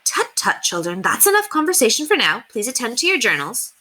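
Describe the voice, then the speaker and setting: A woman's voice: a little high, sing-song, a little fast, pushed and bright, pitched above the performer's own voice, heavily acted. A woman voicing a prim, earnest and kindly young English governess in a children's storybook, with a proper, effusive way of talking. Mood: prim, stern. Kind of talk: children's storybook read aloud.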